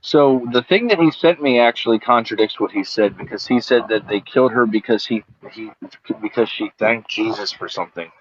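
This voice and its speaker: Man questioning calmly over a dull call line. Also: medium pitch, brisk. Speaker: debate participant. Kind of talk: online audio room discussion.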